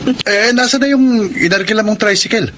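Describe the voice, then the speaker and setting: A male actor plays an easygoing, helpful Filipino fishport worker. His voice is on the high side, in radio drama style, with clear ambient noise around him. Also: normal speed, natural voice. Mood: friendly.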